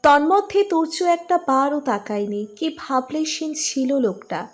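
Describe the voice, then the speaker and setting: Female narrator for scripted romance audiobook; high-pitched voice, storytelling delivery. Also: measured pace, faint music behind.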